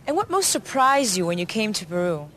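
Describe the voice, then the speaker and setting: A woman's voice: mid-range voice, very animated, brisk, fairly bright tone, a little hiss or hum. A woman working as a field reporter, presenting and asking questions outdoors in a city square. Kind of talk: on-location reporting.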